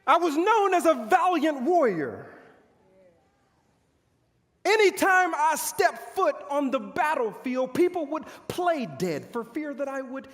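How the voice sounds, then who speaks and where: Man playing a boastful ancient army commander, very high voice, swooping delivery, pompous stage monologue, dramatic pauses. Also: sing-song, fairly bright tone, full character voice. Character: proud, boastful male army commander of ancient Israel's era, bitter. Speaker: pastor performing a monologue. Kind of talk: theatrical stage monologue to an audience. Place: large church hall stage. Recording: echoey hall with long reverb.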